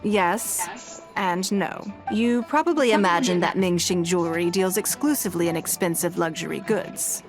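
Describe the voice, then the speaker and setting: A woman's voice: on the low side, moderate pace, over music, lightly acted. A woman voicing a poised, gracious and wealthy woman of influence in a video game, speaking formally and articulately. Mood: composed, assured.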